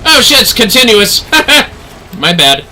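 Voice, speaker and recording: A man's voice: sits high, very animated, slow, sharp tone, slight background noise, rough recording. A man livestreaming a video game, giving casual running commentary as he plays.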